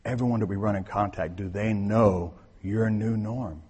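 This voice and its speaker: A man preaching a sermon to his congregation, talking casually, then soft, then emphatic. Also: fairly low pitch, fairly even intonation, moderate pace, breathy edge. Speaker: pastor. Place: church sanctuary. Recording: long hall reverb.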